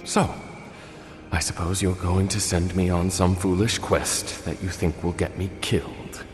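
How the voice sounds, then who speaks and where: A man's voice: sits low, flat delivery, measured pace. A man voicing a proud, melodramatic vampire in a video game, with a haughty, theatrical delivery. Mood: sullen, disdainful. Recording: long hall reverb, music behind.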